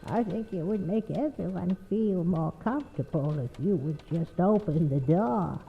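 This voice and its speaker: A woman's voice: fairly low pitch, moderate pace, creaky. An actress playing a folksy, sweetly insistent neighbor woman with a creepy undertone in an audio horror drama. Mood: coaxing. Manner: syrupy, coaxing, folksy. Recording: dull muffled sound with faint noise.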